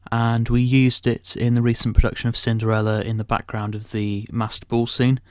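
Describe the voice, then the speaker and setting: A man's voice: medium pitch, little pitch movement, normal speed, darkish tone, clean tone, no room sound, studio quality. A young man co-hosting a podcast, reading out quiz questions and chatting casually. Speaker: podcast co-host and quizmaster.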